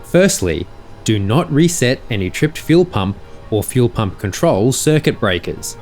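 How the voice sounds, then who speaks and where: A man reading a scripted explanatory narration in a measured, precise, instructional way. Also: medium pitch, big pitch swings, a little mellow. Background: music.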